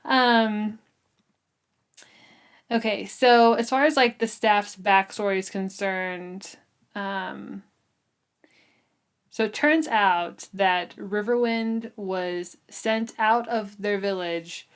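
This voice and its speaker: A woman's voice: medium pitch, fairly even intonation, slow, long pauses, smooth. Woman, 30s, podcast host, informal book-club chat, conversational delivery with lots of "like". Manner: conversational, uses "like" often. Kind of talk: casual book discussion.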